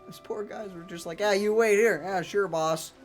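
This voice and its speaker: A woman's voice: medium pitch, moderate pace, faint music behind. A woman co-commentating on a let's play with casual, offhand and wry reactions. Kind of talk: casual reactions during gameplay.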